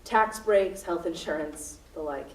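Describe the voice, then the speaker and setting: A woman in a student radio play acting a desperate, unhinged hostage-taker, melodramatic, moving between hushed recollection and frantic cries. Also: lowish voice, a little slow, close to an everyday voice. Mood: haunted, brooding. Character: unhinged, desperate female hostage-taker confessing her crimes. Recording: roomy, distant recording with music behind.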